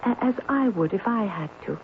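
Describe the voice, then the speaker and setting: A fervent, wistful woman an actress's role pleads haltingly in an old radio mystery. Her mid-pitched voice comes through a thin, phone-like recording with light hiss. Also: lively intonation, close to an everyday voice. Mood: earnest, pleading. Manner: halting, impassioned, persuasive. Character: wistful, fervent woman devoted to a romantic illusion. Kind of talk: old-time radio drama acting.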